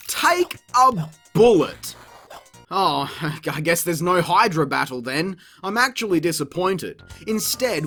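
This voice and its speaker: A reviewer delivers a scripted comic rant about games, full of swearing and sarcasm. The pitch swings widely with exasperation, and music plays behind the voice. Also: fairly bright tone. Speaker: video game reviewer, channel host. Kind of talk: scripted comedic review narration.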